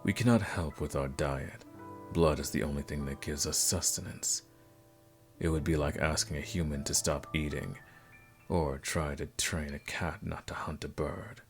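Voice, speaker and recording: A man playing a suave, courteous vampire nobleman in a scripted ASMR roleplay, speaking formally and softly in a gravelly voice. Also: fairly even intonation, moderate pace. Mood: sombre, measured. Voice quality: very deep, gravelly. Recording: music playing behind the voice.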